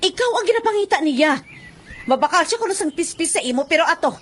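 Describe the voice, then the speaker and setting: A woman's voice: very high voice, animated, quick pace, slight background noise. A woman with a Western Visayan Filipino accent playing a caring, earnest, reproving widowed mother in radio drama. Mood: concerned. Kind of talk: Philippine radio drama acting.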